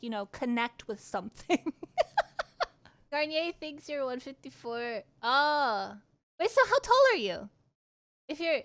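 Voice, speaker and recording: A woman livestreamer casually chatting with her viewers and telling personal stories in an animated, candid, giggly way, swearing freely. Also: high voice. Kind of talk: casual chat and personal storytelling.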